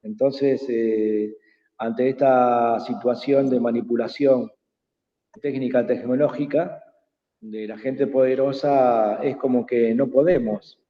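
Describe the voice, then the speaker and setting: Quechua-native man, unhurried, halting; tinny call audio with a long reverb tail. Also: mid-range voice, fairly even intonation. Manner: hesitant, many pauses and fillers. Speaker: invited speaker. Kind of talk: slow reflective talk in an online workshop. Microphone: video call.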